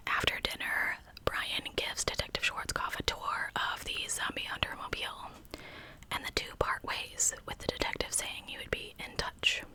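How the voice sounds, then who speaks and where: Whispering woman, bright and crisp ASMR storyteller. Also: normal speed, poor audio. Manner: informal, conversational narration with casual asides. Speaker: true crime ASMR host.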